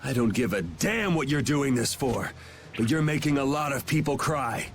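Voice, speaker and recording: A man as a cocky, lively young hero. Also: medium pitch, moderate pace, slight background noise, lightly acted. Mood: defiant. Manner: irreverent, defiant, casual. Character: blunt, cocky young male vigilante hero. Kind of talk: video game cutscene acting.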